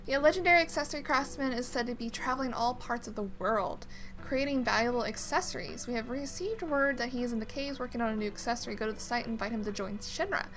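A woman's voice: fairly high pitch, fast, a bit bright, low-quality recording. A woman giving casual video game playthrough commentary, sometimes reading on-screen text aloud. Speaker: gaming video host. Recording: game music behind the voice. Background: video game music.

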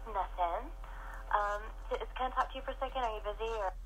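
A woman's voice: medium pitch, fast talker, a bit bright, a little hiss or hum, poor audio. A woman calling into a radio show, nervous and giggly with short answers. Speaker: radio caller. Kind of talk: phone call on air.

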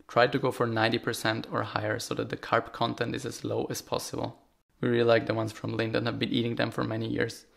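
A young man giving calm, matter-of-fact advice as a vlogger. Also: mid-range voice, gravelly. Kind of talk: scripted voice-over tips. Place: outdoors by apartment blocks in winter.

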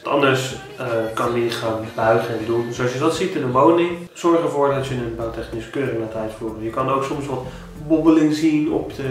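A man in his thirties with a Dutch accent, giving home-buying tips in a casual, animated vlog with many restarts. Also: mid-range voice. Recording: very echoey room, a bit distant.

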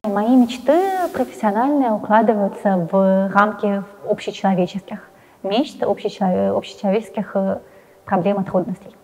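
A young adult woman answering interview questions while seated in a studio, talking quickly with frequent hesitant fillers. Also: mid-range voice, darkish tone, long reverb tail, slight background noise, low-quality recording. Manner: hesitant fillers, fast and talkative. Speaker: interviewee, science journalist. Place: indoor studio with plain wall, seated in armchair.

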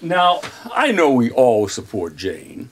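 A man's voice: medium pitch, sing-song, takes it slowly, a little hiss or hum. An actor playing an earnest, courteous and increasingly exasperated man chairing a meeting in a screen comedy. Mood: polite. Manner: formal, courteous, persistent. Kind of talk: naturalistic screen comedy acting. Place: indoor meeting room.

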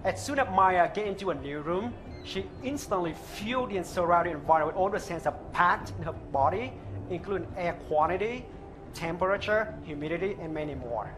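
A man in his thirties with a thick Vietnamese accent, earnestly pitching a product live on stage on a headset mic. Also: expressive, music in the background. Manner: earnest, halting non-native phrasing. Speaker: startup founder pitching. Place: open-air stage at a trade show.

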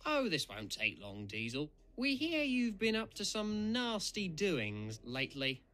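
A man's voice: high voice, huge pitch swings, unhurried, bright, forceful tone, exaggerated voice. A man voicing a cocky, theatrical red steam engine who plays detective in a cartoon dub. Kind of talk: cartoon fan dub acting.

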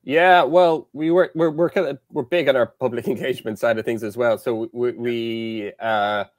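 A man's voice: medium pitch, fairly even intonation, normal speed, dry recording, studio quality. A young Irish man explaining engineering work as a guest on a video call, hesitant with many fillers. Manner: hesitant, lots of fillers and restarts. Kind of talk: casual interview chat. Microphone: headset on video call.

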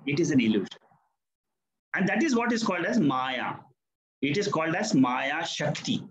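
Older male teacher, patient explainer, animated delivery, roomy call audio. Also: fairly high pitch, moderate pace, dull audio. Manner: patient, explanatory, affirming the student often. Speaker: Vedanta teacher. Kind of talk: answering questions in an online class. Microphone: video call.

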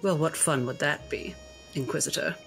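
A shrewd lady doctor, acted by a woman in a tabletop game, speaks in a measured, arch way. She is genteel but commanding, with music behind her voice. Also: deep voice, vocal fry, fairly bright tone. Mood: wry. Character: shrewd, genteel female doctor with secret talents.

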